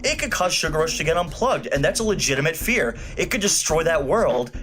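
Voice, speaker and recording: A man giving an emphatic, opinionated scripted review of an animated film. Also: a little high, fairly quick, over music.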